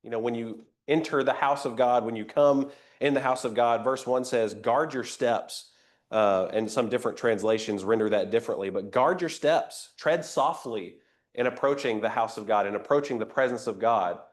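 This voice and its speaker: A man's voice: mid-range voice, moderate pace. Guy leading a bible class, bit nasally, chatty, keeps asking the room questions. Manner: conversational, invites comments, rhetorical questions. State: a little nasal from a cold. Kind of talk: interactive Bible class teaching.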